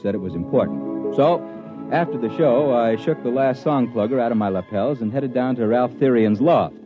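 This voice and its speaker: A man's voice: mid-range voice, normal speed. A man narrating his own story in a folksy, rambling way for a 1950s radio drama. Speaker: narrator playing himself. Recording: dull old radio broadcast with music.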